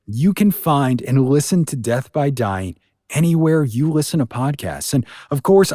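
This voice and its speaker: A man's voice: mid-range voice, darkish tone, dry recording, studio quality. A man hosting a podcast, introducing a show in an informal, folksy, conversational way. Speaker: podcast host.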